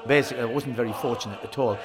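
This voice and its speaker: A man's voice: medium pitch, very fast, crowd noise. An older man, reminiscing in an interview and often repeating words as he tells his stories. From Northeast England. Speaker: folk singer of sea shanties.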